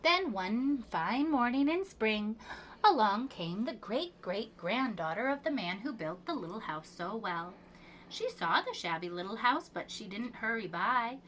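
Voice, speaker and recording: Expressive woman reading a children's story, higher-pitched. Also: unhurried, slight background noise, poor audio. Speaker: preschool storyteller. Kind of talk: children's picture book read-aloud.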